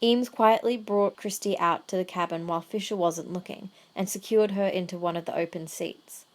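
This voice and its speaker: A woman narrates an audio drama in a medium-pitched voice. She reads scripted prose as the storyteller.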